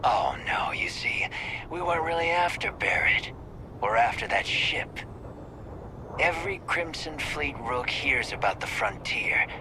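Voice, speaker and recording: A man's voice: a little high, animated, a little slow. Cocky male space pirate, noisy background. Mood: taunting. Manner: taunting, informal, clipped tough talk. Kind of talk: scripted video game dialogue.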